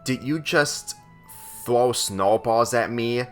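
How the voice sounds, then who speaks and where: Storybook teenage daughter, easily startled and unsure, read by a man, slow and hesitant. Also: medium pitch, faint music behind, close to an everyday voice. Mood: surprised. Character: teenage girl, startled, doubtful, thankful daughter. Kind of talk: storybook character dialogue.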